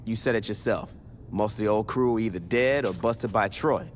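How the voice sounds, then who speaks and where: Brief: male actor as a dry, terse gang boss, lowish voice, faint ambience behind, game cutscene. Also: moderate pace, a little hiss or hum, lightly acted. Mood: plotting. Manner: terse, dry, street slang. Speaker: game protagonist.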